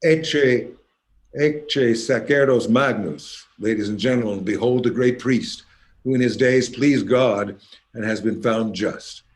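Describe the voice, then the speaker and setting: The man who steps up between numbers at a cultural evening to introduce the next performer, courteous and measured, in a medium-pitched voice. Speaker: concert host. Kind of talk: hosted concert introduction and interview.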